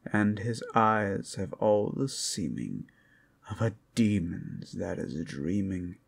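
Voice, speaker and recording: A man narrating a gothic poem in a quiet, dramatic reading, measured, brooding and theatrical. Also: low voice, expressive, creaky, slightly rough. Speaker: narrator, reader.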